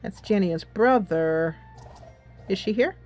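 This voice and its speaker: Expressive woman gamer with very lively pitch. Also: slow pace. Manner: giggly, reacts expressively. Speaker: gaming channel host. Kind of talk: casual game playthrough commentary. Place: quiet room, voice-over on gameplay. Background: soft game music.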